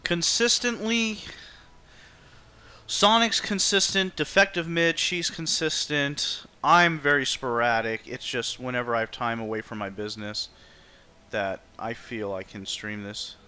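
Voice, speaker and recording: A man's voice: medium pitch, steady tone, a little slow, bright, forceful tone, clear, resonant tone. A man livestreaming a game, chatting informally and thinking aloud as he plays. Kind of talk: casual livestream chat over gameplay.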